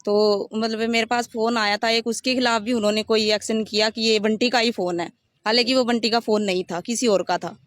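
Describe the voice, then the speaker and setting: A woman's voice: fairly high pitch, fairly even intonation, brisk, no room sound, studio quality. A woman giving a detailed, step-by-step personal account in an interview. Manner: rambling, detailed step-by-step recounting. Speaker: interviewee recounting her complaint.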